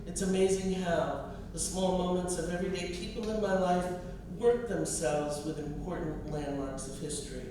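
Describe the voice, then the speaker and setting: An American woman in middle age reads her poetry and personal prose with measured, expressive care. The recording is very reverberant, with the microphone at a distance and clear noise behind her. Her phrasing is deliberate and literary. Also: fairly low pitch. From US East Coast. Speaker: poet and college professor reading own work. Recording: distant mic, echoey room, clear noise.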